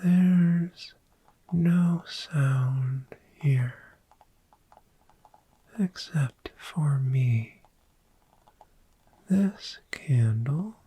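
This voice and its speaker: A performer voicing a friendly, gentle dragon in a soft-spoken ASMR roleplay, breathy and mostly whispered, soothing and lingering over words. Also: mid-range voice, exaggerated voice. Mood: sleepy, tender. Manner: slow, soothing, lingering repetition. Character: friendly, gentle dragon host of a sleepy hoard.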